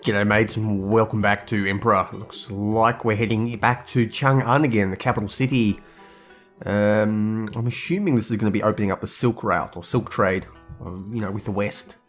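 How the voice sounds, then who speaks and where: A hungover, husky-voiced man, rambling casually as he comments on his own gameplay. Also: lowish voice, steady tone, normal speed, soft background music. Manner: rambling, thinks aloud. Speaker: gaming video host.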